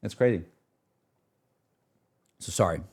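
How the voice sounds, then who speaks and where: A man's voice: sits low, takes it slowly, vocal fry, a little mellow, slightly rough. A young adult man chatting casually on a livestream, rambling enthusiastically about gaming in a self-deprecating way. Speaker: streamer.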